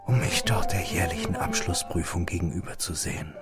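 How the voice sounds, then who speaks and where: A man playing a reflective young man who narrates his melancholy past in measured, literary radio drama style. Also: deep voice, steady tone, lightly acted. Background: music.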